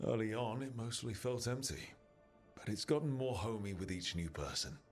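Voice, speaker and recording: Man acting a lowish, steady team leader. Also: normal speed, soft background music, close to an everyday voice. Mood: reflective. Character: resolute male team leader in a fantasy adventure. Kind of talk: video game voice acting.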